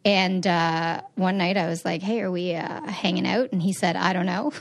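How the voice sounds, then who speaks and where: Woman in her 30s chatting on a podcast, open and lively with stories. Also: on the low side, normal speed, vocal fry. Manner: candid, animated storytelling. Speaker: guest, sex and relationship expert. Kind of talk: casual podcast conversation.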